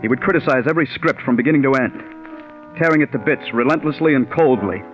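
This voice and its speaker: An actor playing a bitter, stubborn, overworked scriptwriter in 1940s radio drama style, intense and brooding. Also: male voice, medium pitch, fast talker, soft background music, natural voice. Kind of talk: 1940s radio acting with first-person narration. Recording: dull 1940s radio recording.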